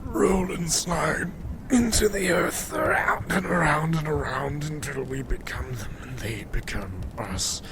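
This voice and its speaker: A man's voice: fairly high pitch, a loud crowd. A man playing a wounded, maddened man who chants eerie riddles in a horror audio drama. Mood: delirious. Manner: slow, chanting, riddling delivery.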